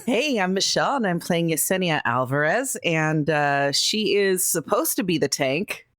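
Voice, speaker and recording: A woman talks casually as a player on a gaming podcast, with a joking way about her. Her voice is a little low and recorded dry, with no room around it. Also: animated, moderate pace, fairly bright tone, dry recording. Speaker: player in an actual play podcast.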